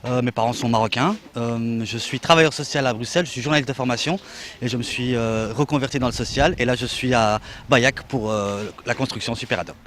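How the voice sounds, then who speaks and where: A man's voice: medium pitch, brisk, a bit bright. Matter-of-fact man from Belgium. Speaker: social worker. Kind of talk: self-introduction.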